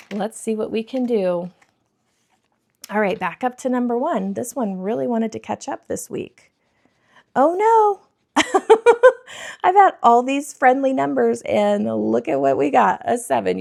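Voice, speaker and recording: A woman in her thirties with a croaky, scratchy throat, chatting to viewers as she counts cash for savings challenges. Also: a little high, unhurried, a little mellow. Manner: bubbly, addresses viewers as friends, counts money aloud. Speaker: budgeting vlogger.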